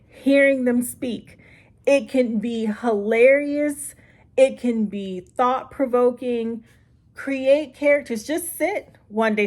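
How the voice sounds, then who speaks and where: A woman's voice: on the high side, a little mellow. A middle-aged woman, a writing coach, talks informally to viewers in an encouraging, conversational way, leaning on key words and checking in with listeners. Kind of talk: informal instructional talk to viewers.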